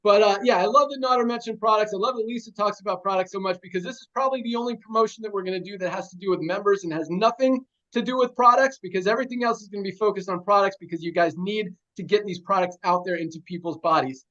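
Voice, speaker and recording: A man's voice: high voice, quick pace, few pauses, fairly bright tone. A man hosting a team video call, rousing everyone with short rallying lines. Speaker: host of a sales team call. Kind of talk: energetic motivational talk. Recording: dull lo-fi call audio.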